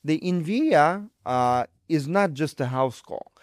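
A man's voice: fairly high pitch, animated, slow, dry recording, clean studio sound. A middle-aged man from Ukraine with a Ukrainian accent, explaining things emphatically in a conversational interview as a guest surgeon.

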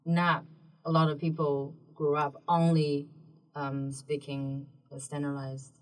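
Woman, Mandarin Chinese accent, deep voice, halting careful explanations, mic far off. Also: flat delivery. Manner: thoughtful, explaining with many um pauses. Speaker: guest. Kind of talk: casual explanatory chat. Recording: distant mic in a room.

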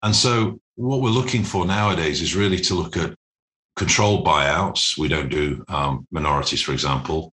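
A man speaking as a business interview guest, explaining things formally and deliberately, with measured phrasing and pauses. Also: rumbling low, pushed and bright. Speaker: interview guest, private equity managing director.

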